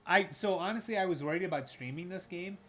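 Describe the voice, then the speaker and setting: Excitable man, raspy from shouting. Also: sits high, fast talker. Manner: excitable, shouts at big plays. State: raspy from screaming. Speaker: gaming streamer doing play-by-play. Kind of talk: casual live sports commentary.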